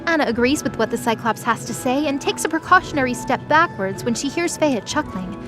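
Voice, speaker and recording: High-voiced woman narrating over music. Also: expressive, brisk. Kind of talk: scripted story narration.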